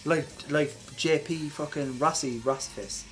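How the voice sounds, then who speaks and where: Bright, high-voiced sceptical man. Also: fairly even intonation, unhurried, soft background music. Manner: sceptical, questioning, profane. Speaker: podcast co-host. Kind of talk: casual comedic banter.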